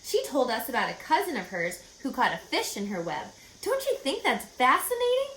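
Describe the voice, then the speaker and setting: Woman voicing an eager, animated little farm girl. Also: high voice, moderate pace, voice pushed up, exaggerated voice. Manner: eager, insistent, vivid storytelling. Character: imaginative, earnest little farm girl telling tales. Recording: echoey room, faint background noise.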